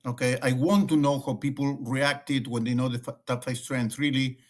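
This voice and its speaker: A man's voice: medium pitch, fairly even intonation, moderate pace. A middle-aged man from Argentina with an Argentine Spanish accent, speaking as an interview guest and often restarting and repeating words. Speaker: guest, leadership consultant. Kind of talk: conversational interview.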